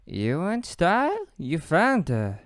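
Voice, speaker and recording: A man's voice: fairly high pitch, wildly swooping pitch, takes it slowly, no room sound, clean studio sound, pitched above the performer's own voice, full character voice. A man voicing a confident adult female fighter, cool, proud and self-assured.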